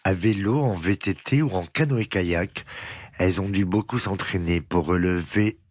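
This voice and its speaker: A man reporter narrating a news feature voice-over in a calm, even delivery. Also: sits low, moderate pace, no echo.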